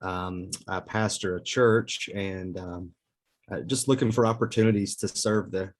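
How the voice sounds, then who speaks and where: A man in his forties, a pastor, introducing himself on a podcast in an earnest, measured way. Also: sits low, steady tone. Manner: earnest, measured, with many fillers.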